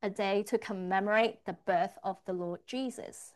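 A woman's voice: medium pitch, steady tone, normal speed. A woman interpreting a church testimony, rendering the speaker's words line by line in the first person. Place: church service.

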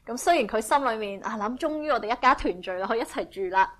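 A woman interpreting a sermon phrase by phrase, speaking in a brisk, fluent and eager way. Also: a little high, expressive, a bit bright.

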